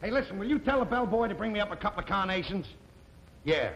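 An actor playing a loud, blustering husband in a 1950s sitcom. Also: male voice, sits high, lively intonation, fast. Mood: bossy. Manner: loud and bombastic. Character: blustering, hot-headed working-class husband. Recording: old TV soundtrack with clear hiss.

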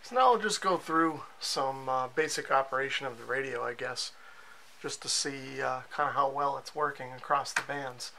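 Bright-voiced man in a casual walkthrough, noisy room. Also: medium pitch, normal speed, vocal fry. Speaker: radio reviewer. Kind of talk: casual hands-on product walkthrough. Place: cellar with concrete walls.

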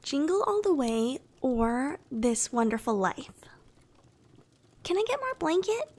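Casting: woman to play coy young anime girlfriend, high soft voice, very slow delivery, breathy, soothing ASMR roleplay. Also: very animated, a little hiss or hum, heavily acted. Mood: coy, bashful. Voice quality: soft, breathy, often whispered. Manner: slow, gentle, intimate, coy. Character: shy, affectionate young anime-style girlfriend, clingy and bashful.

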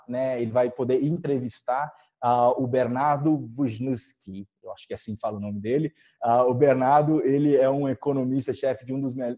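A man in his thirties with a Brazilian Portuguese accent, hosting an online event informally in a halting, filler-heavy way over a video call from home. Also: mid-range voice, dark tone. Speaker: event host. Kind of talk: introducing an online panel event, informal presenting. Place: indoors in a living room at home. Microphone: video call with wired earphones.

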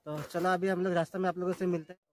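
A young man in his twenties vlogging on a phone on a selfie stick, chatting excitedly to viewers on windy hilltops and a swaying bridge. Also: high-pitched, rapid-fire. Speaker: travel vlogger. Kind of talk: casual travel vlog narration. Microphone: phone camera on a selfie stick. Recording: clear wind noise outdoors.

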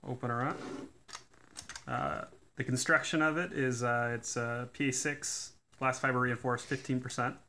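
A man doing a casual hands-on teardown at a workbench, talking in a relaxed way with lots of "uh" fillers. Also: mid-range voice, normal speed, noisy background. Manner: relaxed, many "uh" fillers, technical detail. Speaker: DIY tool reviewer. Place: indoors at a workbench.

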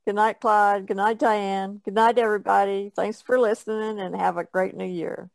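A woman's voice: medium pitch, little pitch movement, moderate pace, creaky, dry recording, rough recording. A woman co-hosting a podcast, talking in a casual, conversational way. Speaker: podcast co-host. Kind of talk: casual chat.

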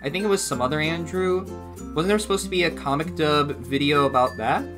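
A man's voice: on the high side, a little fast, music in the background. A young man giving casual reaction commentary in a rambling way, often repeating and rephrasing himself, recorded in a small room at home. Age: young adult. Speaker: reaction video host.

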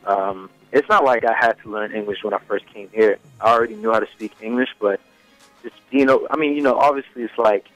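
A man's voice: on the low side, fairly quick, a bit bright, dry recording, faint music behind, poor audio. A young man whose first language is Swedish, talking casually as a singer guest in a radio interview, full of "you know" fillers. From Sweden. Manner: laid-back, many fillers like "you know".

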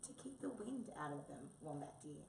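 Woman voicing a tiny quavering high-pitched storybook mouse. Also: very animated, fairly quick, far from the mic. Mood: patient. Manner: quavering, sweet, soothing. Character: small gentle timid mouse, kind and patient. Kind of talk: children's storybook character voice. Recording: roomy echo, faint background noise.

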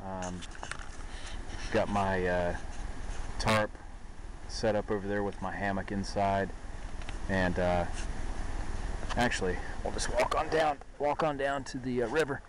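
Rambling low-voiced man outdoors, noisy. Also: a little slow, pauses often. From western North Carolina. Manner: relaxed, rambling, many fillers. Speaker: vlogger. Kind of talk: casual outdoor vlog. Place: forest campsite and riverside woods, outdoors. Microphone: handheld camera at arm's length, walking. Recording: noisy outdoor camcorder audio. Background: river and outdoor ambience.

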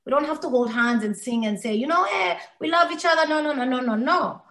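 A woman's voice: sits high, a little fast, fairly bright tone, reverberant. A woman from Somalia who grew up in Kenya, a podcast guest speaking earnestly and emphatically in conversation. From Somalia, raised in Kenya. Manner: earnest and emphatic, repeating words for stress. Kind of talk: heartfelt conversational interview.

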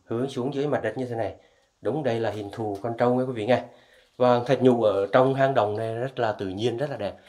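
A man's voice: mid-range voice, brisk, darkish tone. Man touring a cave, echoing. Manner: addresses viewers often, enthusiastic. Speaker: travel vlogger guiding viewers. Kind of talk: casual guided tour narration. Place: inside a limestone cave. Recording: echoey cave with long reverb.

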